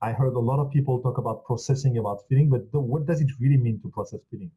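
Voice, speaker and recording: A man from France with a French accent hosting an interview, speaking haltingly with frequent restarts and supportive asides. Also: mid-range voice, mellow, husky, poor audio. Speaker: relationship coach, host.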